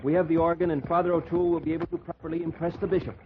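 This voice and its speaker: Man as a high-voiced, quick-talking polite helper. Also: flat delivery, close to an everyday voice. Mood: reassuring. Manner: deferential, eager. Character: polite, patient male Mexican helper to a traveller. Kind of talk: old-time radio western acting. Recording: muffled, noisy vintage radio with outdoor sounds.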